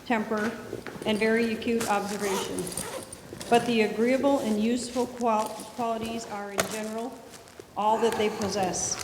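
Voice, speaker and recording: A woman reading a history book aloud in a halting way, stumbling over words and correcting herself. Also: mid-range voice, a little mellow. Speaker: class participant reading aloud. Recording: echoey hall, reverberant, slight noise.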